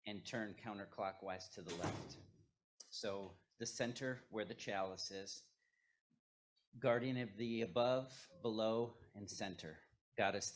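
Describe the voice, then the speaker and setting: A man leading a ritual, speaking solemn invocations phrase by phrase. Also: on the low side. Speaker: ritual leader. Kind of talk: ceremonial invocation at a gathering.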